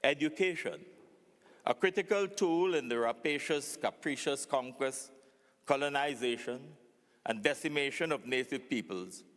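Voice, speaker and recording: A man's voice: mid-range voice, normal speed, a bit bright. A man delivering a prepared speech in a formal, emphatic, oratorical manner, recorded in a reverberant hall. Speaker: panel speaker. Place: large hall at a public forum.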